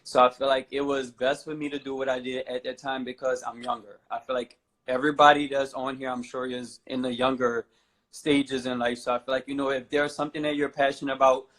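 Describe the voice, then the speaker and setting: A young man in his twenties speaks at an ordinary mid pitch, casual and unhurried. His talk wanders and leans on fillers like "you know" as he answers questions on a talk show. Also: rough recording. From New Orleans, USA. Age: 20s. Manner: relaxed, rambling, many "I feel like" and "you know". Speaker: guest, fashion model.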